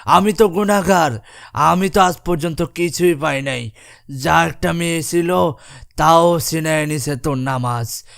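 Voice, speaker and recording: A man voicing a bitter, irritable husband and father in a moral cartoon, gruff and accusing, with a gravelly voice. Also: a little high, fairly bright tone, no echo, studio quality, full character voice. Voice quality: gravelly, creaky. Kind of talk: dubbed moral cartoon acting.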